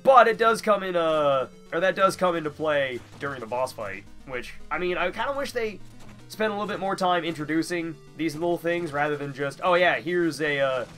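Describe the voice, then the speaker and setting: A man's voice: fairly high pitch, lively intonation, fairly quick, fairly bright tone, faint music behind. Stuffy-nosed young man commenting on gameplay. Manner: self-deprecating, rambling, filler-heavy. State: stuffy nose. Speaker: gamer, let's player.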